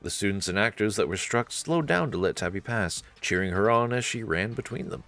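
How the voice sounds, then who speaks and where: Very deep-voiced male storyteller. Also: expressive, brisk. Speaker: narrator and let's-play reader. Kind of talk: storytelling narration of a visual novel. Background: faint music.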